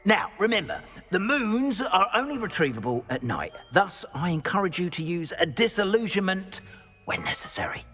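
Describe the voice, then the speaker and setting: A man's voice: sits high, huge pitch swings, moderate pace, fairly bright tone, husky, long reverb tail, soft background music, full character voice. A man playing an anxious, fussy wizard school caretaker in a video game, speaking in a dramatic, hesitant, florid way. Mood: worried, earnest. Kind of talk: video game character acting.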